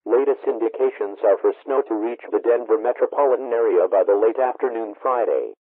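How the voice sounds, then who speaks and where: A man's voice: medium pitch, brisk, slightly rough, no echo. A man reading scripted emergency broadcast announcements in a formal, impersonal manner as an alert announcer. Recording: muffled tinny radio broadcast sound.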